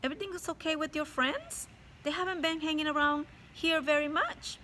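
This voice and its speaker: This is a gentle, worried mother from a children's story, put on by a woman reading aloud. Her questions rise high and bright. A slight fry sits under the tone, and faint noise hangs behind it. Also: fairly quick. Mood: concerned. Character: caring mother asking her son gently.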